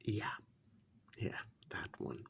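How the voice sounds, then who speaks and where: A man playing a coldly patronizing serial killer in a soft-spoken ASMR role-play, breathy and half-whispered, with condescending, deliberate delivery. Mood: quiet menace. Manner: slow, deliberate, condescending, drawn-out pauses. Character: menacing male serial killer, coldly patronizing to his captive.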